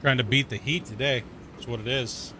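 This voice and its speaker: A man's voice: mid-range voice, steady tone, fairly quick, pushed and bright, a little hiss or hum. A man streaming a mining game, chatting loosely and rambling, peppering his talk with "like" and "dude", and itchy from a sunburn. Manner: rambling, laid-back, heavy use of "like" and "dude". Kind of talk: casual gameplay commentary.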